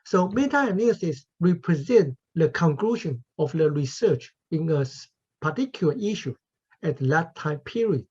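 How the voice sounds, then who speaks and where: A man's voice: fairly high pitch, animated, a little mellow. Taiwanese-accented male professor presenting. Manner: careful, deliberate, with non-native grammar slips. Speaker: invited conference speaker, professor. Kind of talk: formal academic presentation. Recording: muffled, husky lo-fi audio.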